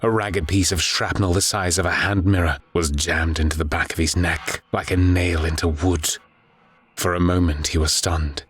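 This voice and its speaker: A man narrating a dark war story in a grave, deliberate, dramatic audiobook style. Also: sits low, steady tone, slightly rough.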